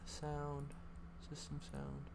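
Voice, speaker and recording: A young man streaming a game to viewers, casual and apologetic, with a naturally quiet voice, often saying he is juggling too many things. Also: on the high side, big pitch swings, very slow, background noise. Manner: scattered and apologetic, juggling tasks. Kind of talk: casual live-stream chat. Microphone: desk microphone.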